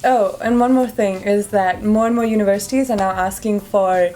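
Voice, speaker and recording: A young woman from India, a student guest in an interview, speaking frankly with quick asides. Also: medium pitch, steady tone, warm tone, slight background noise. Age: young adult. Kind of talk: casual interview answers.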